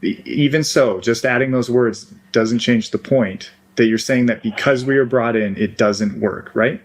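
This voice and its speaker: A man's voice: mid-range voice, normal speed. A man in a phone argument, pressing his point with insistent questions. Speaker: caller.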